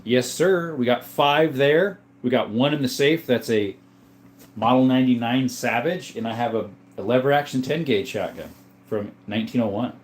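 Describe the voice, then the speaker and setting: A man hosting a casual livestream from a room full of guns, reading viewer comments aloud in a relaxed, joking way. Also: mid-range voice, normal speed. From Arizona. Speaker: livestream host reading chat. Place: indoors, room with guns on display.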